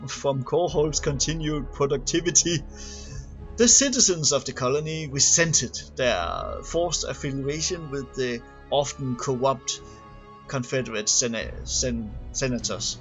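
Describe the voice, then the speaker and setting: A man reading a scripted narration aloud in a halting way, often stumbling over and repeating words. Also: medium pitch, expressive, a bit bright. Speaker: amateur reader of game lore. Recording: dull lo-fi home recording, music behind. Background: background music.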